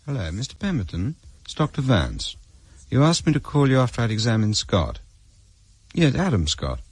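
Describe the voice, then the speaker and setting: A man playing a brisk, businesslike doctor in a radio drama, with a husky voice. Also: mid-range voice, big pitch swings, no echo. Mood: brisk, insistent.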